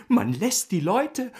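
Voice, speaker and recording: An actor playing a wily, persuasive newspaper schemer in a German radio drama, with a husky, breathy voice and insinuating manner. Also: male voice, very high voice, warm tone, exaggerated voice. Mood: amused.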